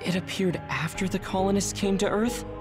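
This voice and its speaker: A cool, skeptical young female soldier, voiced by a woman in a video game. She is composed and matter-of-fact, at a middling pitch. Music plays behind her. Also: fairly even intonation, normal speed, lightly acted. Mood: cool, analytical. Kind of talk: scripted video game voice acting.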